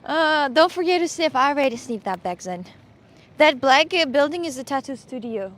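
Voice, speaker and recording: Play a woman livestreaming on her phone outdoors; keep it high, eager and teasing. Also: normal speed. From Russia. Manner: excitable, repetitive, playful. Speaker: streamer. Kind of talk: casual livestream chat.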